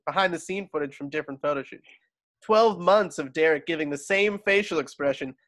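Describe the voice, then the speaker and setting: Higher-pitched man reading stage directions. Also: fairly bright tone, rough recording. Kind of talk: scripted narration at a table read. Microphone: video call.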